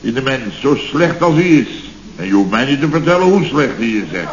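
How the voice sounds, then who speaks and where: Blustering contractor boss played by an actor, echoey old radio. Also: male voice, mid-range voice, sing-song, brisk, lightly acted. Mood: self-important. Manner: pompous, repetitive, stammering bluster. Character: blustering, self-important male building contractor boss, comically muddled. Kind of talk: 1970s radio comedy acting. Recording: roomy, muffled, noisy old radio recording.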